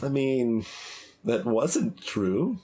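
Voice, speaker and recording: A man's voice: medium pitch, sing-song, extremely slow, warm tone. A man doing casual gameplay commentary as a streamer, thinking aloud in a hesitant way with stammers and repeated phrases. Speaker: gaming streamer doing a blind playthrough. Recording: game music behind the voice. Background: video game music.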